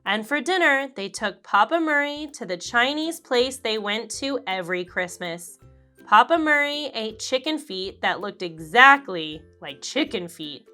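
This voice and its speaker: A woman reading a children's picture book aloud, slowly and expressively. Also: a little high, faint music behind. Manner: slow, expressive, animated character voices. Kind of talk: read-aloud storybook narration.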